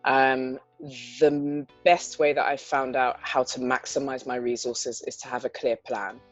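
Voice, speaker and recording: Guest: articulate woman with a London-area English accent, measured and reflective; dull lo-fi audio, soft guitar underneath. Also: rumbling low, level tone. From England. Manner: thoughtful, articulate, frequent "um" fillers, light laughs. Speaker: guest entrepreneur. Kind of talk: conversational interview answers.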